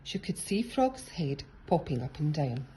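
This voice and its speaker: A woman's voice: low-pitched, very animated, measured pace, mellow, a little hiss or hum, rough recording. A woman narrating a children's picture book as a storybook reader.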